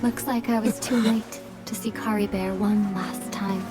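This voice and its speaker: An actress playing a composed young warrior princess in a video game, with a gentle, calm and wistful manner. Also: female voice, medium pitch, a little slow, over loud music, lightly acted. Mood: tender, regretful. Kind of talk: dramatic video game dialogue.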